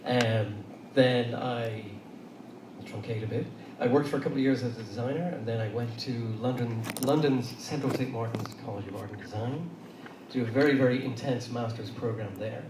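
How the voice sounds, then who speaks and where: A man's voice: medium pitch, normal speed. A middle-aged man with an Irish accent, speaking to an audience in a loose, anecdotal way with many fillers. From Ireland. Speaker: panel speaker. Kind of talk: conversational talk to an audience. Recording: roomy far-off hall recording with noise.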